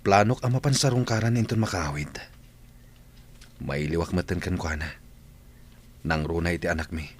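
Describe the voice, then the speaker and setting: Man playing a low-voiced, regretful young father. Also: moderate pace, pauses often, natural voice. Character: regretful young father, earnest, longing son. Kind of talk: radio drama acting. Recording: faint background noise.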